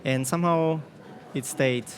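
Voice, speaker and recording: A young Italian-born pianist in his twenties, speaking in a thoughtful, measured way on a panel. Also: fairly high pitch. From Italy. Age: 20s. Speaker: competition pianist guest. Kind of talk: panel talk.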